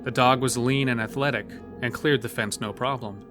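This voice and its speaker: A man's voice: mid-range voice, steady tone, normal speed. A man narrating a scripted horror story as the storyteller of an audio drama. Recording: music bed under the voice. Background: loud music.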